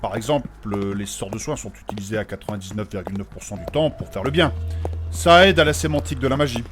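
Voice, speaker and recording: A man playing a polite, bookish, excitable scholar of magic in a comic fantasy audio drama, formal and pedantic. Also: on the low side, fast talker, natural voice. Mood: professorial. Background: music.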